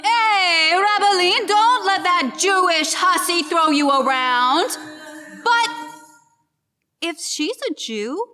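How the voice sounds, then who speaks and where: A woman's voice: extremely high pitch, lively intonation, slow, bright, forceful tone, heavily acted. A woman playing a rowdy, leering spectator in a theatrical comic play reading. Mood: jeering, agitated. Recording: long hall reverb, music behind.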